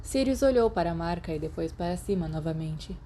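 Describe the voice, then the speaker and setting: Mellow-voiced Brazilian woman reading fiction. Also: lowish voice, animated, a little fast, a little hiss or hum. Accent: Brazilian Portuguese. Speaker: podfic reader. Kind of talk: reading a fan fiction translation aloud.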